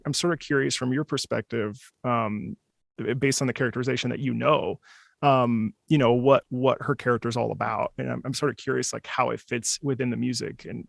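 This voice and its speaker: A man's voice: mid-range voice, vocal fry, dry recording, studio quality. A man hosting a music reaction show, chatting casually with curious, enthusiastic commentary.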